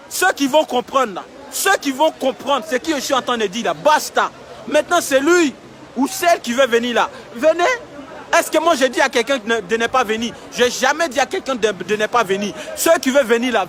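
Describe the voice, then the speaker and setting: High-voiced man livestreaming outdoors. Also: fairly bright tone. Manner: emphatic, repetitive, urging viewers. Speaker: live-streaming vlogger. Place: outdoors in a city street camp. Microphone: phone held while filming.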